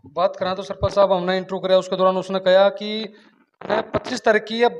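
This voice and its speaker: The recording is somewhat muffled and lo-fi. A man with a Haryanvi accent and a high-pitched voice puts questions in an informal interview, as a local reporter. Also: flat delivery, a little fast, slight background noise. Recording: dull, muffled lo-fi sound.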